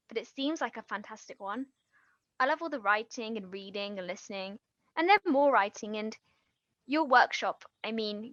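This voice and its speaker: A woman acting a nervous, eager aspiring writer in a naturalistic stage play, talking in a flustered, halting, rambling way. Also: fairly high pitch, fairly bright tone, no echo, muffled recording, lightly acted. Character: nervous, eager female aspiring writer hiding her past.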